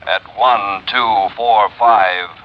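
An actor playing a methodical police dispatcher, deliberate in delivery, in 1940s radio drama style. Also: male voice, on the low side, steady tone. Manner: deliberate, repeating. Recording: dull, noisy 1940s radio recording.

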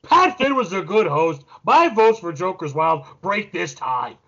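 A man's voice: sits high, sing-song, measured pace, fairly bright tone, dull audio. A man giving casual, opinionated banter as a podcast panelist, emphatic and salty-tongued.

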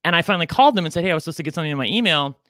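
A man's voice: on the high side, lively intonation, racing, sharp tone, dry recording, clean studio sound. A man in his forties co-hosting a podcast, talking in a chatty, campy, self-deprecating way. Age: 40s. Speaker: podcast co-host. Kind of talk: casual chat.

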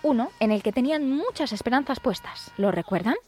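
A woman's voice: on the high side, lively intonation, brisk. A woman hosting and narrating a scripted sports documentary. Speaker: host and narrator.

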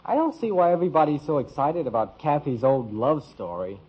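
High-pitched brash radio teenage boy. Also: big pitch swings, a little fast, breathy edge. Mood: offhand. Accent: American. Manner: slangy, offhand. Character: brash, nosy, casual teenage son. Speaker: actor in radio sitcom. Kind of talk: old-time radio sitcom acting. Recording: dull vintage radio recording.

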